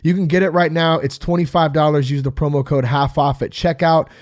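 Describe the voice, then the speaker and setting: A man's voice: on the high side, steady tone, fairly quick, no room sound, clean studio sound. Congested laid-back man podcast host. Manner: laid-back, rambling with fillers. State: congested, sick. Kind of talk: casual podcast chat.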